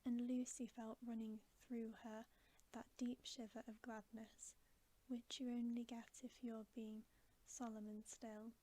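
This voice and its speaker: A woman reads a children's novel as ASMR for bedtime. Her voice is breathy, mostly whispered and mellow. She takes it very gently, at a slow, soothing pace. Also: fairly high pitch, little pitch movement, poor audio. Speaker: ASMR storyteller.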